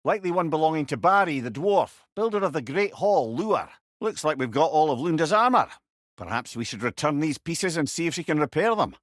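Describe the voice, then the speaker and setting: Old scottish know-it-all sage for a game, wry and wordy, really animated, dry studio sound. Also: male voice, on the high side, brisk, no room sound. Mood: wry, learned. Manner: wry, eloquent, erudite. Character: witty, learned old male sage, loyal travelling companion. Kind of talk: video game character dialogue.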